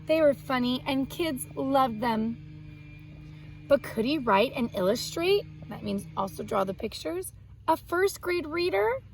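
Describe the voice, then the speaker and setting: A woman reading a picture book aloud to young children, expressive, pausing to explain words simply. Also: high voice, low-quality recording. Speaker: children's read-aloud host and art teacher.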